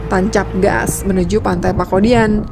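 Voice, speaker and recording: A woman's voice: medium pitch, fairly even intonation, moderate pace, creaky. A woman with an Indonesian accent narrating a road trip vlog in a chatty, casual voice-over. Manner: chatty, lists costs, trails off with fillers. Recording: voice-over with loud background music.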